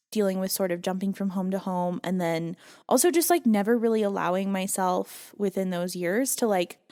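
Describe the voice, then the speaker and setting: Woman guest on a podcast, medium voice, reflective and candid, laughs softly. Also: medium pitch. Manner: reflective, earnest, with soft laughs. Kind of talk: candid personal storytelling in conversation.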